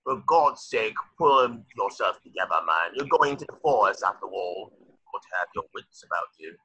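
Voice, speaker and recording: A man playing a stern, sour old caretaker, taunting and menacing with a rough voice, in an amateur table read. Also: fairly low pitch, animated, moderate pace, pushed and bright, exaggerated voice. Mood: scornful, menacing. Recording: dull lo-fi microphone.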